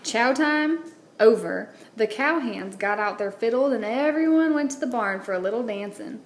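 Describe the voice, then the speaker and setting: A woman's voice: on the high side, expressive, moderate pace. A woman, a teacher, reading a children's storybook aloud indoors. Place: indoors at a wooden table, book on camera. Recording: roomy echo, clear background noise.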